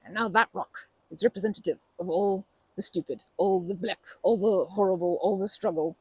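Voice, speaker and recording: A woman playing a timid, kind young woman in a comforting ASMR roleplay, with a creaky voice and a hesitant, stammering, apologetic way of talking. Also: medium pitch, normal speed, warm tone, no echo, poor audio. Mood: encouraging.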